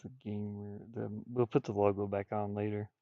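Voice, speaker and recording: A man's voice: on the low side, monotone, moderate pace, no room sound, muffled recording. A man streaming casually as he draws, muttering and thinking aloud in folksy, informal speech. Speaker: streamer and hobby artist. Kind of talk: casual livestream chat while drawing.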